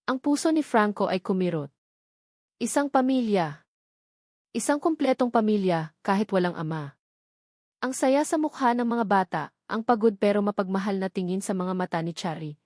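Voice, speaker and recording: A woman narrates a scripted dramatic tale. Her voice sits at a middle pitch for a woman, and the sound is dry, with next to no room on it. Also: darkish tone, no room sound.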